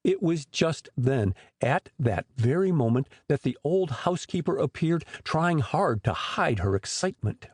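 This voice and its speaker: A man narrating a storybook audiobook in an expressive storytelling manner. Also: fairly high pitch, a little slow, hardly any pauses, breathy edge, dry recording, clean studio sound.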